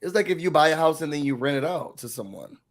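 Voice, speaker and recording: A man with a slightly hoarse, fairly high voice talks through a reality show episode in casual chat. He tells it with energy and slips into the voices of the people he quotes. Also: fast. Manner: animated storytelling, acts out quotes. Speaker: recap show host.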